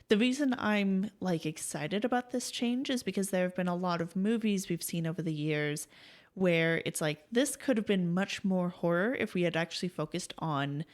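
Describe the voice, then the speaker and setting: Low-voiced woman chiming in on a podcast. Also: normal speed. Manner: brief interested reactions and comments. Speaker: podcast co-host. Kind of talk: casual chat.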